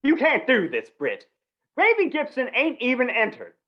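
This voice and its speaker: Animated rustic fair official. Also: female voice, sits high, moderate pace, dull audio. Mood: protesting. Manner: folksy rural phrasing, exclaiming. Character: folksy rustic male county fair official. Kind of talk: old-time radio western acting.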